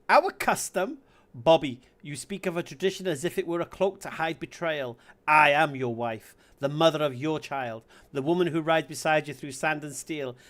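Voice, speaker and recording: A man's voice: a little high, a bit bright, natural voice. A man voicing a proud, wounded pregnant wife in a text roleplay game, speaking in earnest, formal, poetic phrases. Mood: outraged, betrayed.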